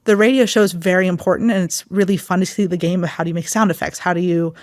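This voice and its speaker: A woman's voice: on the low side, steady tone, quick pace, a little mellow, dry recording, clean studio sound. A woman explaining a production in an interview style.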